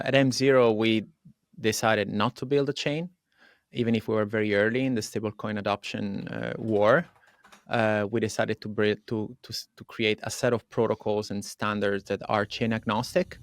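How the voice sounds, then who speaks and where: Italian-accented man, low dry voice. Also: no room sound. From Italy. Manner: thoughtful, frank, with frequent "uh" fillers. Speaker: guest, company founder. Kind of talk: podcast interview.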